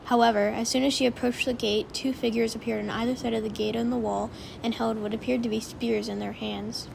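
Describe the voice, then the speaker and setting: A woman's voice: a little high, level tone, a little fast, vocal fry, a little mellow, a little hiss or hum, rough recording. A woman narrating a story from a script, as in an audiobook of fan fiction.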